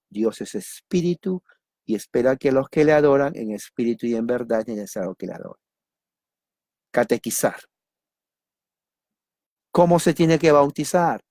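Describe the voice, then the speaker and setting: A Peruvian man preaches a teaching sermon in a middle-pitched voice, recorded dry and close with no room sound. He keeps repeating key words and answers his own questions. His delivery is lively, with jokes tossed to the listeners. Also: no room sound. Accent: Peruvian Spanish. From Peru.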